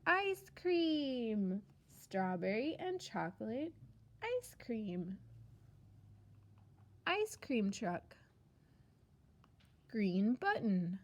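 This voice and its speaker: A woman preschool teacher reading a picture book aloud to young children in simple, sing-song phrases, pausing after questions. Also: a little high, sing-song. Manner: simple short phrases with deliberate pauses for answers.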